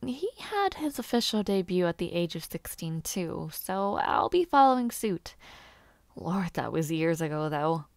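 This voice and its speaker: A woman playing a bashful, playful young noble lady who aspires to knighthood in an audio roleplay, with halting, giggly speech and formal wording. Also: on the low side, very animated, creaky, dry recording, studio quality, heavily acted. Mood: chatty, wry. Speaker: roleplay voice actor. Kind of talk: intimate scripted audio roleplay.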